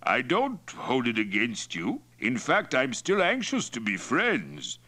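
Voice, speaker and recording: A man's voice: medium pitch, wildly swooping pitch, takes it slowly, sharp tone, pitched above the performer's own voice, full character voice. A man voicing a hearty, boastful Mongol chieftain in an audiobook, blustering and jovial with an edge of menace. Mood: genial menace. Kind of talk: acted dialogue in audiobook narration.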